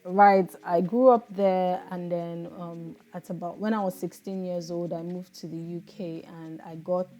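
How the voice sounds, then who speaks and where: A woman's voice: lowish voice, fairly even intonation, unhurried, hardly any pauses, mellow. A woman in her thirties with a Nigerian accent, chatting to viewers in a reflective, casual voice-over while she makes soap. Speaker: soap maker and channel host.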